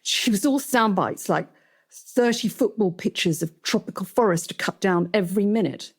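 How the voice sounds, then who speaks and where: A woman's voice: mid-range voice, animated, normal speed, breathy edge. A woman voicing an impassioned, incredulous female TV journalist in a dramatic first-person book reading. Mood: excited.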